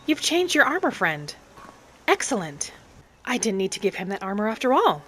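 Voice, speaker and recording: A woman narrating a game roleplay in character as a gentle, hopeful young elf adventurer, softly musing aloud. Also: medium pitch, sing-song, moderate pace, a little hiss or hum. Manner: soft, musing, speaking thoughts aloud. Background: music.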